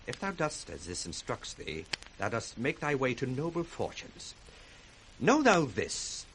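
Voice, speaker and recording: A man's voice: medium pitch, a bit bright, low-quality recording. An actor playing a cold, ambitious, scheming young nobleman, theatrical and commanding, in 1960s radio Shakespeare. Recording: faint noise on old radio recording.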